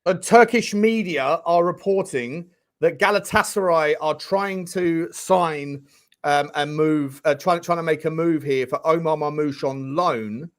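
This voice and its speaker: A man co-hosting a football news show, talking bluntly with casual banter. His voice sits a touch high for a man. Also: measured pace. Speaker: co-host.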